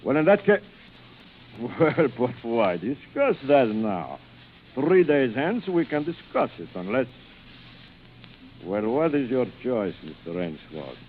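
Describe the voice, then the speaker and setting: An actor playing a suave, gloating aristocratic general who hunts men for sport, theatrical and mock-courteous, in vintage radio style. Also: male voice, mid-range voice, sing-song, slow, long pauses. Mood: suavely menacing. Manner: theatrical, mock-courteous, savouring his words. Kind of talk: 1940s radio acting. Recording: old radio broadcast, tinny and noisy.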